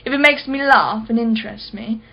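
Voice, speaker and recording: An actress playing a haughty, sharp-tongued wealthy art collector in a radio play, speaking in an articulate, imperious and sardonic way. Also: female voice, on the high side, a little fast, vocal fry, slightly rough, lo-fi sound. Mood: sceptical, mocking.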